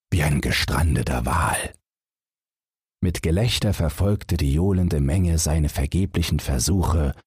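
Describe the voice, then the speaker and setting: A man narrating a bedtime fairy-tale audiobook from a script, in a measured, expressive storytelling manner. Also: a bass voice, husky, no echo, studio quality.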